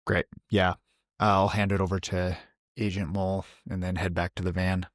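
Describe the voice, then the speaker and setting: Male tabletop player, lowish voice, polite measured improvisation, slight hesitation, dry sound. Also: little pitch movement, dry recording. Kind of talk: casual improvised roleplay.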